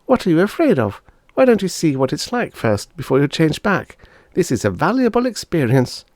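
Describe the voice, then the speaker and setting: A man's voice: a little high, big pitch swings, moderate pace, a little mellow, dry recording, studio quality, pitched above the performer's own voice. A man voicing a bossy, impatient young boy, a scornful big brother in an audiobook story. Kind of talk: audiobook character voice.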